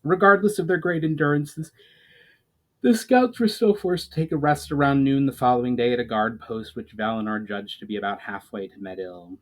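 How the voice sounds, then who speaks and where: A man's voice: medium pitch, moderate pace, rough recording. A man giving a dramatic storytime reading as an author and narrator. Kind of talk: dramatic audiobook-style reading.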